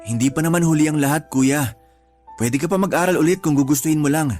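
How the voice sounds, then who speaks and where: A man's voice: fairly high pitch, fast talker, a little mellow, natural voice. Man acting supportive younger brother in radio drama. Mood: reassuring, earnest. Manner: earnest, reassuring, lightly teasing. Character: supportive, teasing younger brother, encouraging and devoted. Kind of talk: radio drama dialogue, conversational. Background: background music.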